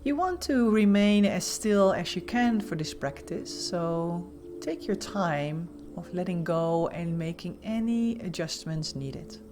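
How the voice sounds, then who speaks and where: A woman leading a guided meditation as an instructor, giving gentle, soothing, softly spoken instructions with pauses. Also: fairly low pitch, expressive, a little hiss or hum.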